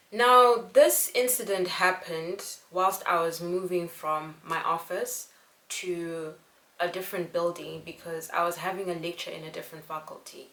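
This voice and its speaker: Young woman from zimbabwe, earnest vlog storytelling, lowish voice, kinda echoey room. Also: bright, forceful tone. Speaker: vlogger. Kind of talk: casual storytelling to camera.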